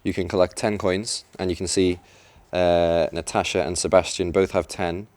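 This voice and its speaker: A man's voice: low-pitched, little pitch movement, normal speed, darkish tone, no room sound. A man commentating a live racing video game match, chatty and explaining tactics. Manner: chatty, explanatory, some restarts. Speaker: esports commentator. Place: event venue.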